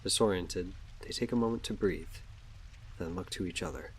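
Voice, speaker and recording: A man's voice: deep voice, normal speed, a little hiss or hum. A man narrating a scripted audio drama, describing the action as the story's narrator.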